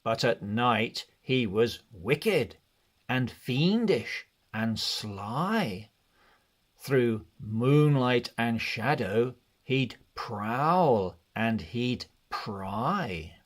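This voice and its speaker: A man narrating a children's read-along storybook in an expressive, deliberate way. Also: medium pitch. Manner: expressive, deliberate, measured delivery.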